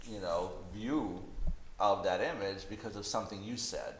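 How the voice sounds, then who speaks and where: A man's voice: sits low, moderate pace. A man chatting casually and reflectively as a visual artist, often saying "you know". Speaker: visual artist being interviewed. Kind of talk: casual conversation. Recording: roomy echo, faint background noise.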